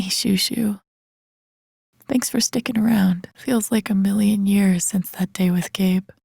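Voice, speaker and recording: A woman voicing a young, introspective, dry-humored game heroine, murmuring her thoughts in a husky, breathy voice. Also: mid-range voice, dark tone, no echo, heavily acted. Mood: tender, sad. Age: young adult. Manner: murmured, breathy thinking aloud, often near a whisper. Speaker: video game voice actress. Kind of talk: soft inner-monologue game voice acting.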